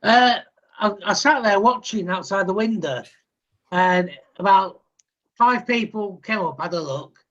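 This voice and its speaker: A man from England chatting wryly on a casual panel and pitching his own project. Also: unhurried, fairly bright tone, muffled recording. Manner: wry, teasing, self-promoting. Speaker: panel host, comic artist.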